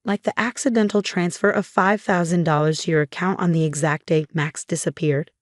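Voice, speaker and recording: A woman voicing a protective, vengeful mother in a dramatic narrated story, calm, determined and pointed. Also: lowish voice, brisk, no room sound, clean studio sound, close to an everyday voice. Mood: cutting.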